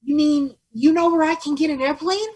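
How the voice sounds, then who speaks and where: An earnest, eager little boy , voiced by a woman, in a high voice. The performance is made for a children's story. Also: normal speed, darkish tone, lightly acted.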